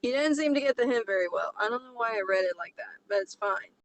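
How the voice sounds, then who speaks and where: A woman's voice: on the high side, animated, fast talker, no echo. A woman co-hosting a show, reading a story aloud with casual asides. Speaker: co-host reading online stories aloud.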